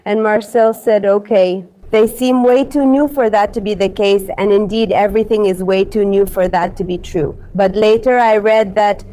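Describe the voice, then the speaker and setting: A woman's voice: on the high side, dark tone, rough recording. A woman chatting as a travel vlogger, explaining things with care, her nose stuffy and her throat sore, recorded on a clip-on mic in an airport. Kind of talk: casual travel vlog chat. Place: busy airport terminal. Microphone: wireless clip-on mic with noise cancelling.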